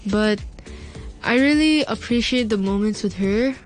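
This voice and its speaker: A woman's voice: mid-range voice, unhurried, faint music behind. Teen girl student, chatty, filler-heavy casual talk. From Hong Kong. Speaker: student guest. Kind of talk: casual storytelling.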